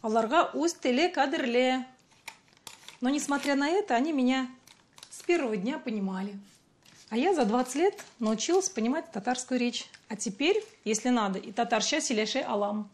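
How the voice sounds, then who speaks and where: An actress playing a patient, playful mother in her forties with a Russian accent, gently explaining things to her child in a TV sitcom. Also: sits high, animated, normal speed. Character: bilingual mother, patient, fond of teaching. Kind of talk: television sitcom acting.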